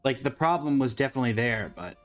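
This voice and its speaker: A man's voice: medium pitch, animated, quick pace, fairly bright tone. Casual man reacting to a game, music behind. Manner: informal, rambling, offhand. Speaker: gaming reaction host.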